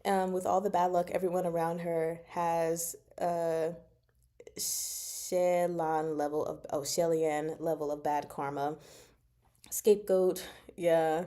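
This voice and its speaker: A woman hosting a casual book discussion, speaking from notes in an animated, giggly, self-interrupting way. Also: deep voice. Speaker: host of a book discussion show. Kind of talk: casual fan discussion, reading from notes and comments.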